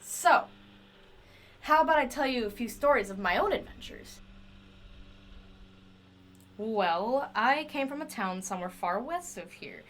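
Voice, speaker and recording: A high, lively female voice with faint noise behind it. An actress plays an eccentric inventor in an audio drama, eager and self-justifying. She rambles and coaxes as if to a companion who will not answer. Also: unhurried, long pauses, rough recording. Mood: hopeful, confiding. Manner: rambling, wheedling, talks to a silent companion.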